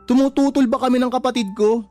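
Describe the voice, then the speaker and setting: A protective grown son in a radio drama, with a notably high voice. He scolds and pleads emphatically, over faint music. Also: fairly even intonation, fast, mellow. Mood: frank, exasperated. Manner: emphatic, scolding, respectful particles to a parent. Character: protective, exasperated adult son confronting his mother. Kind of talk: Tagalog radio drama acting.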